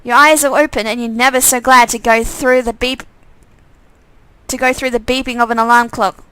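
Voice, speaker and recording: A woman's voice: a little high, fairly even intonation, moderate pace, sharp tone, clear, resonant tone, no echo, low-quality recording. A woman narrating a visual novel live, reading aloud with casual, self-deprecating asides and correcting herself.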